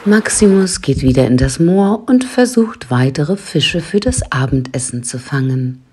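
A woman's voice: deep voice, dark tone, slightly rough. A woman narrating a scripted audio story as its storyteller.

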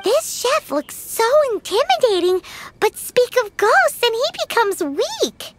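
A woman voicing a small childlike fairy companion, bubbly and bossy, squeaky and cute, in an anime-style game. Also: sing-song, measured pace, a little hiss or hum, full character voice. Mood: exasperated. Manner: cute, exaggerated.

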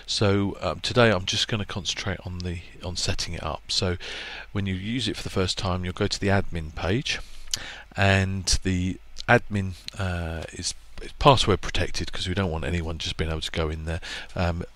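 A man giving a relaxed, plainspoken voice-over walkthrough of a product's setup, with plenty of ums and restarts. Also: low voice, moderate pace. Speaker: product presenter for a marine electronics maker. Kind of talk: casual screen-recorded product walkthrough.

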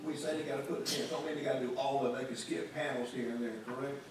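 A man's voice: mid-range voice, fairly even intonation, racing. A male planning commissioner with a Southern US accent, asking blunt, folksy questions at a public meeting. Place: large council meeting room. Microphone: room recording from far camera. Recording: echoey room, distant mic, background noise.

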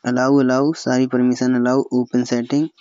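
Even-toned Indian man, smooth but dull recording. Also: mid-range voice, normal speed, dry recording. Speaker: tutorial host. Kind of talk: step-by-step phone app tutorial voice-over. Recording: slightly dull, lo-fi, dry close recording.

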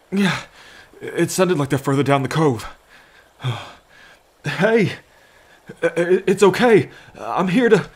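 A man playing a gentle, anxious lone fisherman in an ASMR roleplay, with a halting, reassuring manner. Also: fairly high pitch, husky, exaggerated voice. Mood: worried calling.

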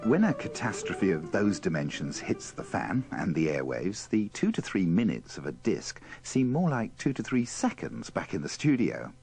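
Deep, gravelly man narrating wryly. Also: sing-song, moderate pace, hardly any pauses, a little mellow, faint music behind. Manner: dry, tongue-in-cheek. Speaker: presenter of a radio bloopers compilation. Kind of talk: wry scripted narration.

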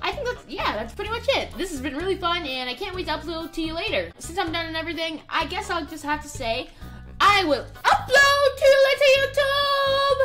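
A woman's voice: very high-pitched, lively intonation, fairly quick, music in the background. A woman hosting a gaming video with playful, giggly and enthusiastic commentary. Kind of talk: playful game commentary and roleplay.

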